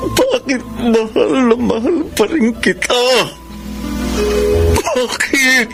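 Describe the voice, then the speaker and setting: A man's voice: very high-pitched, takes it slowly, vocal fry, heavily acted. A Filipino man playing a heartbroken jilted lover in a radio melodrama, pleading and repeating himself emotionally. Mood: anguished, tearful. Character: heartbroken jilted young man, still devotedly in love. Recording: radio drama with loud music behind.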